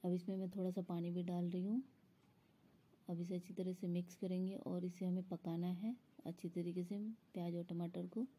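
A woman's voice: fairly low pitch, little pitch movement, moderate pace, dark tone, breathy edge. A woman explaining a recipe step by step in an instructional voice-over, as a home cook. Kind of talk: step-by-step cooking tutorial voice-over.

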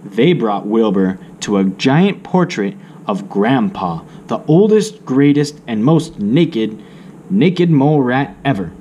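Expressive, mellow man reading a storybook. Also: mid-range voice, dull audio, a little hiss or hum. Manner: measured, theatrical storytelling. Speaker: children's storybook reader. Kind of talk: expressive read-aloud narration for children.

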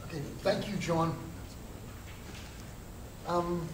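A man chairing a city council meeting, briskly calling for public comment. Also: a little high, fairly even intonation. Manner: brisk, procedural. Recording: echoey chamber with hiss.

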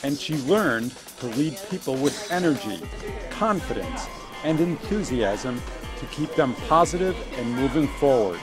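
A man reading measured documentary narration in a mid-range voice. Clear music runs under his words. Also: mid-range voice, expressive. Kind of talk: scripted narration.

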